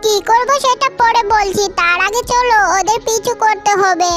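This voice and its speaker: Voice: extremely high pitch, normal speed. A performer voicing a feisty little female bird who scolds and bosses in a children's cartoon. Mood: bossy. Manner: bossy, threatening. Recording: loud children's music behind voice.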